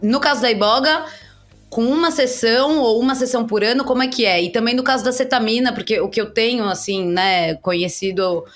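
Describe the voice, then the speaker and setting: A woman hosting a podcast, framing her interview questions in a measured, thoughtful way. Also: fairly high pitch, soft background music. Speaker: podcast host.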